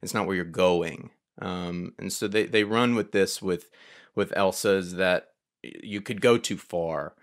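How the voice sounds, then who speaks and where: Animated man in casual film talk. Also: on the low side. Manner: animated storyteller, acts out his reactions as quotes. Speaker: podcast guest or co-host. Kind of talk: casual film discussion on a podcast.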